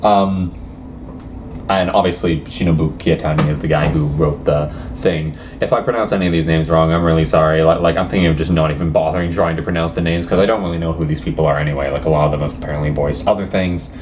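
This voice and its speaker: A man's voice: extremely low pitch, steady tone, quick pace, a little mellow, a little hiss or hum. A young man casually reacting to anime news, reading quotes aloud and rambling with lots of hesitations.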